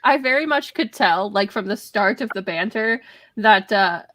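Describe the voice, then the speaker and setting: A woman speaking informally and a little haltingly as a guest in a casual book chat. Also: mid-range voice, lively intonation, fairly bright tone, no room sound. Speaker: guest on a book readalong livestream.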